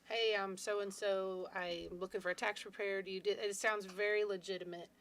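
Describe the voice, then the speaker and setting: Brief: radio co-host, woman, steady explainer, even mid voice. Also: medium pitch, moderate pace. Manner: calm, explanatory, matter-of-fact. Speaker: radio co-host, tax preparer. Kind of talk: casual radio chat.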